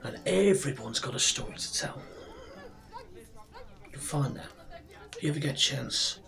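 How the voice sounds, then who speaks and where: A man playing a friendly, proud tavern barkeep in a fantasy ASMR roleplay, speaking softly and breathily, often near a whisper, in an unhurried, rambling way. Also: medium pitch, lively intonation, gravelly, crowd noise. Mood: gentle, chatty, proud. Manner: unhurried, chatty, rambling with pauses. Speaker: roleplay performer. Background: soft music and tavern ambience.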